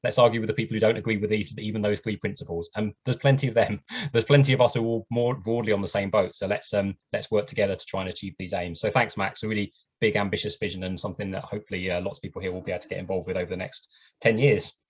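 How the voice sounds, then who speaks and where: A man's voice: lowish voice, fairly even intonation, quick pace, breathy edge. A man hosting an online event over a video call, talking earnestly with many fillers. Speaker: event host. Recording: muffled lo-fi video call audio.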